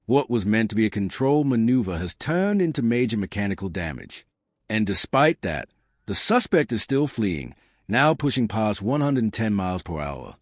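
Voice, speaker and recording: A man's voice: lowish voice, big pitch swings, normal speed, no room sound, studio quality. A man narrating police footage from a script in a grave, emphatic, dramatic true-crime style.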